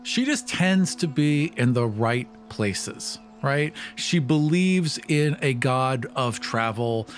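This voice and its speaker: Male tabletop player, medium pitch, casual explanatory chat, slightly wry. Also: expressive, takes it slowly, few pauses, faint music behind.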